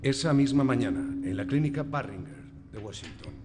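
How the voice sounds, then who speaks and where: A man's voice: mid-range voice, very animated, normal speed, noisy background, natural voice. A man reading scripted scene-setting narration for a radio drama. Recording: long reverb, music behind.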